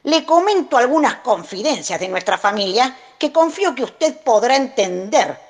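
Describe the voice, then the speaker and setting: A woman's voice: a little high, very animated, normal speed. A woman playing a pompous, manipulative grandfather reading his formal letters in an audio drama. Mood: condescending.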